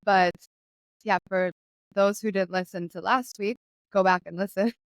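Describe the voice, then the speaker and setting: A young woman hosting a podcast in a relaxed, chatty way, laughing often as she talks. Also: medium pitch, a little slow, no room sound. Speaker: podcast host. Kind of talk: casual conversational chat.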